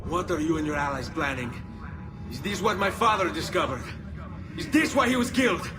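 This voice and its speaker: A man's voice: a little high, animated, fairly quick, lightly acted. A man playing a hot-blooded young Italian nobleman bent on revenge in a game cutscene, with an Italian accent. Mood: demanding. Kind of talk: video game cutscene acting. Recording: music behind the voice.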